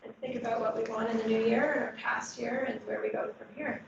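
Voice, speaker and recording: A woman's voice: fairly high pitch, flat delivery, fast, creaky. A woman rabbi chatting in a friendly, teasing way, then welcoming everyone. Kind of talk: casual chat, then opening welcome. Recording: distant, roomy, muffled, noisy.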